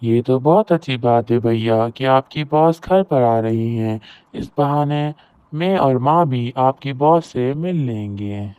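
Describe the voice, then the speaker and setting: A man's voice: medium pitch, slow pace, warm tone, clear, resonant tone, natural voice. A man playing an eager, obliging younger brother in a cartoon moral story. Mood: eager, helpful. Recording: roomy echo, very thin phone-like audio.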